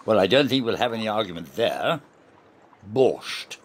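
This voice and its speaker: A man's voice: on the low side, expressive, normal speed, slight background noise, close to an everyday voice. A man acting in an amateur radio play as a proud, stubborn general, speaking in a formal, pedantic and emphatic way. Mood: proud, argumentative.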